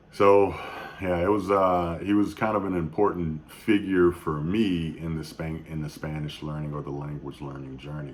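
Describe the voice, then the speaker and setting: A man in his thirties with an extremely low, deep voice talks off the cuff in a personal video tribute. He speaks somberly and haltingly, full of pauses, fillers and restarts. A faint hum of ambience sits under the recording. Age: 30s. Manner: somber, reflective. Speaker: YouTube vlogger.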